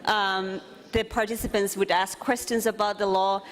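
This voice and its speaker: Woman, conference speaker, earnest, halting with fillers, mic in a hall. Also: mid-range voice, flat delivery. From Finland. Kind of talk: presentation talk.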